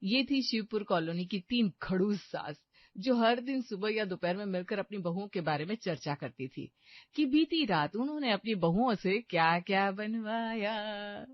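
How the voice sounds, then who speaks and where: A woman's voice: medium pitch, expressive, normal speed, no room sound, highs cut off. A woman narrator reading a scripted cartoon story.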